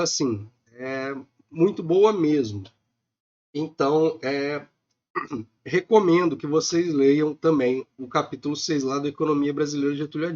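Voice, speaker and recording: A male lecturer with a Brazilian accent speaks in a fairly high, slightly hoarse voice, stretching his sentences with filler sounds. The audio is dull and lo-fi, like a home webcam recording. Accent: Brazilian Portuguese. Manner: informal, many filler sounds. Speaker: economics lecturer.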